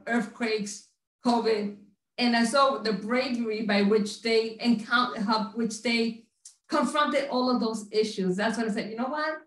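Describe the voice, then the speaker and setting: A woman from Puerto Rico sharing a personal story as a panelist in a reflective, proud way. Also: fairly high pitch. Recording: echoey room.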